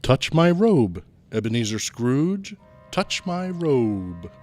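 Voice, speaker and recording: An actor playing a jolly giant spirit, speaking in a grand, declamatory way in an old-time radio drama. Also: male voice, medium pitch, very animated, slow, a little mellow, soft background music. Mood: grand, inviting.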